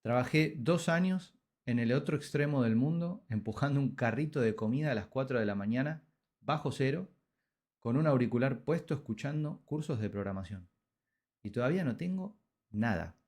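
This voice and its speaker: A man's voice: medium pitch, lively intonation, darkish tone. Argentine man narrating his own story. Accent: Argentine Spanish. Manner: reflective storytelling with dramatic pauses. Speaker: podcast host. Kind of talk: scripted personal narration.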